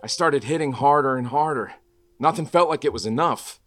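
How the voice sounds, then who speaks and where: A man's voice: mid-range voice, brisk, a bit bright, close to an everyday voice. An actor playing a guilt-ridden but quippy young male superhero, earnest and wisecracking in a dramatic table read. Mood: haunted. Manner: earnest confessions, wisecracking banter in fights. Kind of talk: dramatic table read with first-person narration.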